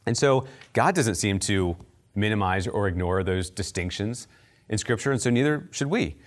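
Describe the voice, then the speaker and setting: Man, lower-pitched voice, from outside Baltimore, conversational teaching, lots of fillers and quick asides. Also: animated. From United States, near Baltimore. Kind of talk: teaching talk on faith and culture.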